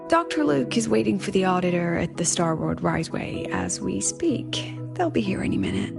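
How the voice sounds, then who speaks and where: An actress playing a shrewd, scheming academy professor who talks in a coaxing, conspiratorial way. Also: female voice, on the low side, big pitch swings, moderate pace, creaky, over music, exaggerated voice. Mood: earnest. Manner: coaxing, conspiratorial, slightly awkward. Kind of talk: video game voice acting.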